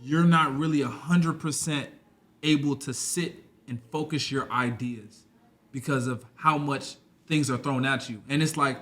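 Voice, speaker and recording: A man's voice: medium pitch, steady tone, unhurried, fairly bright tone. A man in his twenties, a podcast guest, talking loosely and rambling in a casual conversation. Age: 20s. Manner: loose and rambling, full of "you know what I'm saying".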